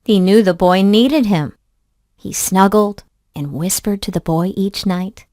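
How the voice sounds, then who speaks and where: A woman reading a children's story aloud in an expressive, deliberate storybook manner. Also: mid-range voice, dry recording, studio quality.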